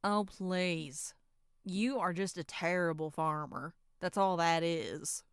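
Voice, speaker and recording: Woman doing an exasperated village skeptic, sarcastic n folksy, smooth clear voice, dry recording. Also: fairly low pitch, slow pace, no echo. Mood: scornful. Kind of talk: horror roleplay audio drama.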